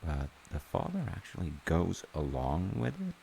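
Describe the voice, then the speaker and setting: A loving dad, played by a man tells a story in a very low, creaky voice. He rambles through wry, conversational asides in a playful audio roleplay manner. A faint hiss sits behind him. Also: huge pitch swings, normal speed, warm tone, exaggerated voice. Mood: wry, gentle. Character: loving, playful dad telling his child a parable. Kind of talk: audio roleplay, informal bedtime-style storytelling.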